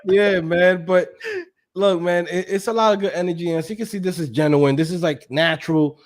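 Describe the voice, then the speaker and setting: A man's voice: high voice, fairly even intonation, a little fast. A man talking as a guest on a casual video chat with another creator, hyped and slangy, laughing often. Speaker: guest, coding course creator.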